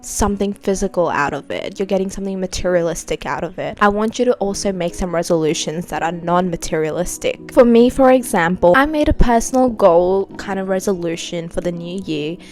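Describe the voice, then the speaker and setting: A woman's voice: on the low side, expressive, a little fast, hardly any pauses, a little mellow. A woman in her twenties, slightly congested, giving earnest, encouraging advice straight to her viewers in a casual vlog. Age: 20s. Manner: earnest, encouraging, addresses viewers as "you guys". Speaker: vlogger. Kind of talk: direct-to-camera advice vlog.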